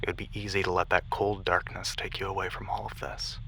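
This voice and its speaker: A man playing a determined, weary military major at mission control in a sci-fi audio drama, intense and commanding, sometimes dropping to a hushed tone. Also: low voice, level tone, brisk, creaky, slight background noise, heavily acted. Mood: weary, compassionate. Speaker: voice actor in audio roleplay.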